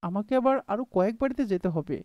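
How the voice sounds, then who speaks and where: Woman as a lively, polite charity man. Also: medium pitch, very fast, dry recording, lo-fi sound, natural voice. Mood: polite, earnest. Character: polite, earnest male charity collector visiting neighbours. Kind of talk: Islamic moral cartoon voice acting.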